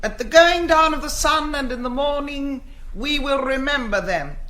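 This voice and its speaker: A solemn ceremonial reciter in a stage drama. The voice is extremely high and bright, intoning each phrase slowly as at a remembrance service. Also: male voice.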